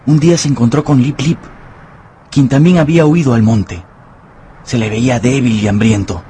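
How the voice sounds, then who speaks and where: A man's voice: medium pitch, moderate pace, dark tone, a little hiss or hum. A man narrating a radio novel as a scripted, dramatic storyteller.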